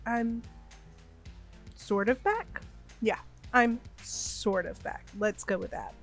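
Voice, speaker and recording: A woman's voice: a little high, takes it slowly, faint music behind. A woman in her thirties with a slight cold, rambling hesitantly with many fillers in a casual voiceover over a game build. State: has a cold, a bit sniffly. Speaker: gaming YouTuber.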